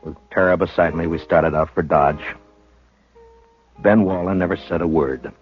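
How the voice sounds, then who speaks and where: An actor playing a calm, grave frontier lawman who speaks with measured authority and narrates in first person in a 1950s radio drama. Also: sits low, breathy edge. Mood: somber. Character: grave, steady frontier lawman, middle-aged. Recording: tinny old radio transcription with faint music.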